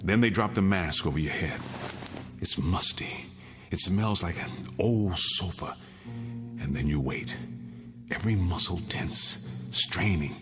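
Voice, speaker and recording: Low, haunted condemned man. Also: measured pace, pauses often. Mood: haunted, grim. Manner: intense, dwelling on each detail. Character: condemned man haunted by a recurring dream, brooding, fatalistic. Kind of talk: mid-century television drama acting. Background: dramatic music.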